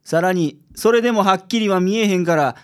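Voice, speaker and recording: A man playing a gruff, self-assured private detective with a Kansai accent in a radio drama. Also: high voice, a little fast, natural voice. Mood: matter-of-fact, sure. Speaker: cast member of a community radio show.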